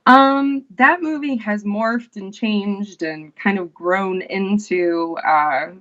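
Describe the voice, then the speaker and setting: A woman, an actress, chatting casually as an interview guest, unhurried and full of fillers and restarts. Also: medium pitch, a bit bright, lo-fi sound.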